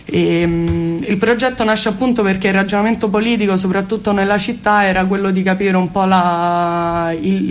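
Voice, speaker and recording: A woman speaks as an interview guest, explaining earnestly and at length with hesitant phrasing. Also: mid-range voice. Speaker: guest, cultural space activist. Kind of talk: spoken radio interview, explanatory.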